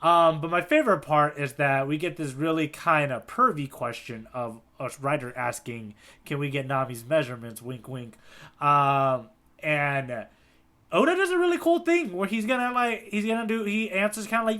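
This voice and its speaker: A man's voice: fairly high pitch, animated, moderate pace, sharp tone. A man co-hosting a podcast in casual chat, jokey and rambling, laughing at his own puns. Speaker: podcast co-host.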